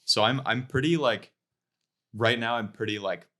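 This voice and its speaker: A man's voice: mid-range voice, moderate pace, fairly bright tone. A man chatting casually as a podcast guest, reflective and meandering as he explains himself. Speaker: podcast guest, comedy writer. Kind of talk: casual chat.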